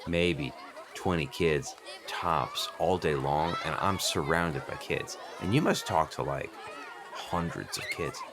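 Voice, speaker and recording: A man's voice: a bass voice, slow pace, a crowd in the background, heavily acted. A man playing a precocious, inquisitive eight-year-old boy who stammers and rambles earnestly in an improvised comedy radio play. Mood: curious, chatty. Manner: hesitant stammers, rambling run-on questions.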